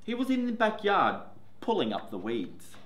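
Male teacher, 40s, slow and animated storybook reading, echoey room. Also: high voice. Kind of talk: picture book read-aloud. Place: classroom, indoors. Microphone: camera in front, seated. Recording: echoey classroom.

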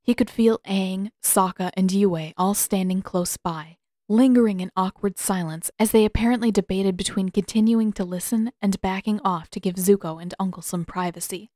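A woman's voice: mid-range voice, fairly even intonation, normal speed, mellow, dry recording. A woman reading scripted fiction as an audiobook narrator, close to the microphone.

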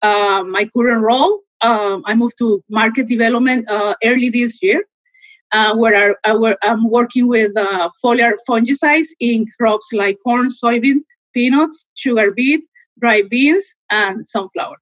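Costa rican woman, kinda higher voice, lots of uh, dull dry call audio. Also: no echo. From Costa Rica. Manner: fast, with frequent uh fillers. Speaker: guest expert. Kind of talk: casual interview answers. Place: living room, indoors. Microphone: laptop mic, video call.

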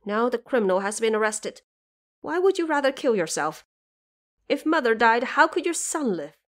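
A woman's voice: fairly high pitch, very animated, normal speed. A woman playing an earnest young monk, a devoted son pleading in an audio drama. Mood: urgent pleading.

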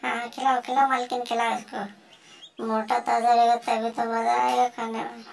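A woman's voice: a little high, flat delivery, normal speed, creaky, recorded from a distance. A woman voicing a hungry, scheming female house cat, muttering plans to herself with lots of hums. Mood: grumbling greedy. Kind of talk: comic pet voice-over.

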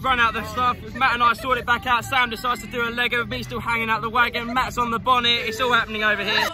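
A young man cracks self-deprecating jokes into a phone held at arm's length. He talks quickly in a fairly high voice, with a quick, offhand delivery. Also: little pitch movement, over loud music, over a noisy crowd. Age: 20s. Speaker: co-host, travel companion. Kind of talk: casual travel vlog chat. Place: outdoors on beaches and in a van.

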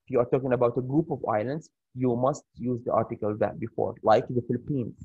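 A man with an Arabic accent teaching an English grammar lesson over a shared screen, pausing often with fillers and restarts. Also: medium pitch, fairly even intonation, husky, no echo, narrow, tinny audio. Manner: halting, many fillers and restarts.

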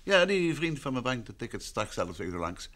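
A courteous, practical gentleman detective in a vintage radio serial. His voice moves through a wide, lively range of pitch while he stays composed. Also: moderate pace. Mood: brisk, affable. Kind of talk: vintage Dutch radio drama acting.

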